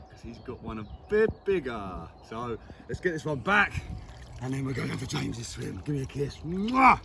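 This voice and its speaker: A man, an angler, chatting casually and excitedly to camera outdoors by a lake. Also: fairly high pitch. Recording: noisy outdoor recording with clicks.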